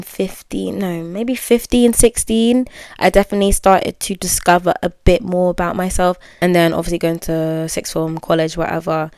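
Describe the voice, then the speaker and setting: Solo podcast host, woman, candid and musing, smooth clear tone. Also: lowish voice, dry recording, studio quality. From United Kingdom. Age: 20s. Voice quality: well-spoken. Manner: candid, musing, many fillers and self-corrections. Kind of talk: reflective solo podcast monologue.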